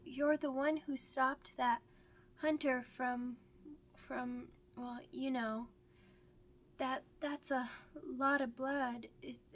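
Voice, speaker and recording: A woman voicing a timid, trusting young werewolf pup in an audio roleplay, with a hesitant, stammering delivery. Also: high-pitched. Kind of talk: ASMR audio roleplay acting.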